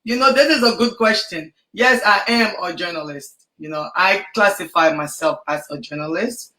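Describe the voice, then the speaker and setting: A woman's voice: fairly low pitch, normal speed, bright, forceful tone, echoey room. A woman born in Liberia and raised in Ghana, a podcaster and journalist, answering interview questions in a rambling way with many fillers.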